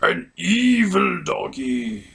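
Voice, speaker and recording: Cackling evil shadow pony for a comic puppet show: hammy, boastful, raspy and gravelly. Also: male voice, high-pitched, fairly bright tone, pitched above the performer's own voice, exaggerated voice. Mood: gloating. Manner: theatrical, drawn-out boasting with villain cackles. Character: gloating evil shadow pony villain, theatrical and silly. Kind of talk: comedic puppet-show review parody.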